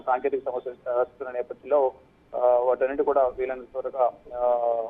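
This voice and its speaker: A man giving a live news report by phone, in a halting, hesitant way. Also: medium pitch. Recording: muffled narrow phone line.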